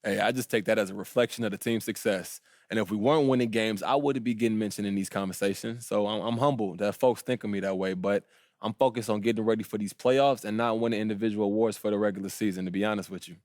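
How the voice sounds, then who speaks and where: A man's voice: on the low side, steady tone, fast talker, a little mellow, no room sound, clean studio sound, natural voice. Guy acting as young hoops rookie in a video game, casual slang, cool and confident. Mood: earnest, assured. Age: young adult. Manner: casual, slangy, self-assured. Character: confident, laid-back young pro basketball rookie.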